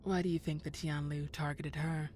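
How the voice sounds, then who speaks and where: A woman's voice: low-pitched, little pitch movement, moderate pace, darkish tone, slight background noise, close to an everyday voice. An actress playing a sly villainous woman in an audio drama, condescending with feigned sweetness. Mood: insinuating. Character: sly, scheming villainous old flame, woman. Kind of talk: scripted audio drama acting.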